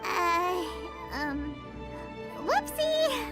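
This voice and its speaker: A woman voicing a small, cheeky, chirpy fairy companion in an anime-style video game, with a squeaky childlike voice and cutesy exclaiming delivery. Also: big pitch swings, extremely slow, exaggerated voice. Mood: sheepish, curious. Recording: loud game music behind the voice. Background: playful game music.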